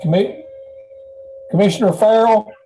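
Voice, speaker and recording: A man's voice: high-pitched, unhurried, dark tone. A man working as a meeting official, formally calling a roll and tallying votes.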